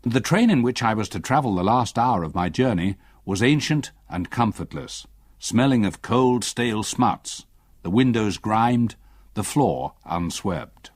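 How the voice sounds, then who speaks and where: Low-voiced old English gentleman narrator. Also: expressive, no echo, studio quality. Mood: reflective, uneasy. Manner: literary, measured, well-spoken. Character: reflective old English gentleman recalling his youth. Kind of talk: first-person radio drama narration.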